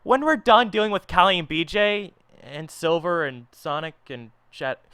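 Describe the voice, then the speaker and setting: Irritable villain team boss, voiced by a man: grumbling, animated, dry close sound. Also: on the high side, normal speed, clean tone, no room sound. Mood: exasperated, bossy. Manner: grumbling, exasperated. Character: irritable, bossy male cartoon villain team leader.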